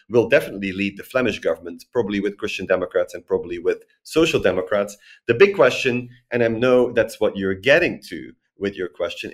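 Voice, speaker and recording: A man in his forties, a guest expert on a podcast, recording remotely and explaining at length in a lively, structured way with frequent tag questions. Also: lowish voice. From Belgium. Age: 40s. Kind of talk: podcast interview, analytical explanation.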